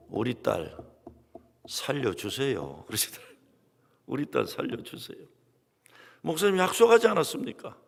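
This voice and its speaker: Gravelly male preacher in a big hall. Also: a little high. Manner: lively storytelling, quoting congregants, dramatic drops to near whispers. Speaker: guest pastor. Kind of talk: revival sermon with storytelling. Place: large church sanctuary. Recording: long reverb of a large hall.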